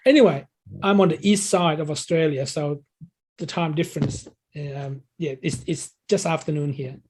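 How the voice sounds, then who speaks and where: A man's voice: fairly high pitch, normal speed, darkish tone, husky. A man in his sixties from Thailand, a native Thai speaker, answering interview questions conversationally and explaining things as a coach would. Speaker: interview guest, English learning coach.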